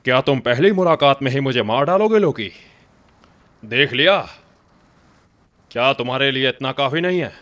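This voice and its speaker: A man's voice: mid-range voice, animated, normal speed, pauses often, a bit bright, close to an everyday voice. A man voicing a sly older male king of the gods in a dubbed game cutscene, wry and commanding.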